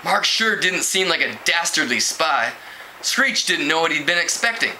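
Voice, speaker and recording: A man reading a teen novel aloud in an expressive way, as an amateur reader would at home. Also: moderate pace. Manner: expressive, acts out dialogue with pitch jumps. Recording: clearly noisy home recording.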